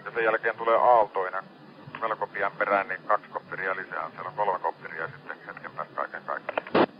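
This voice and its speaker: Finnish man, lowish voice, rescue coordination operator, heard over a muffled radio channel. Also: steady tone. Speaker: maritime rescue center operator. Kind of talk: radio dispatch. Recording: dull band-limited radio channel.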